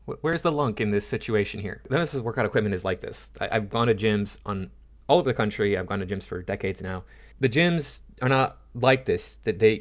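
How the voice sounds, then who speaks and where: Indignant American man giving halting commentary. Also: on the low side. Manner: indignant, halting, repeats himself. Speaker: host of a commentary channel. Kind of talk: casual opinion commentary over clips.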